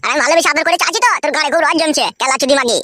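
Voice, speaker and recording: A whiny young newlywed husband from a comic cartoon, gabbles in a high voice. He is crude and pleading, easily cowed, over faint background noise. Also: very fast. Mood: insistent, angry. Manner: crude, pleading, wailing delivery. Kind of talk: amateur comic cartoon voice acting.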